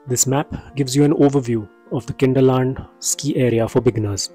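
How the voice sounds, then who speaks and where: A man's voice: mid-range voice, steady tone, normal speed, mellow, faint music behind. Man narrating a ski travel guide. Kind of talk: scripted voice-over narration.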